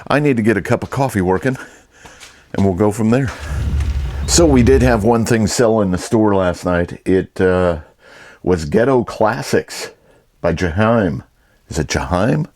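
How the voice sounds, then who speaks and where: A man's voice: lowish voice, expressive, a little slow, darkish tone, no echo. A man giving a casual, rambling and easygoing vlog talk as an online reseller.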